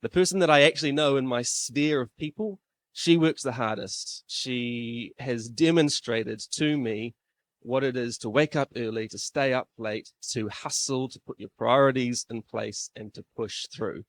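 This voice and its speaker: A man's voice: mid-range voice, a little slow. A man in his thirties with a New Zealand accent, emceeing a live event in a classroom-style room, chatty and self-deprecating.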